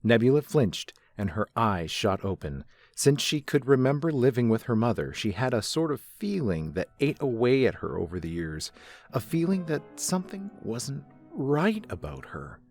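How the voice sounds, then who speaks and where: A man's voice: medium pitch, very animated, moderate pace, darkish tone, faint music behind. A man reading scripted third-person story narration as the narrator.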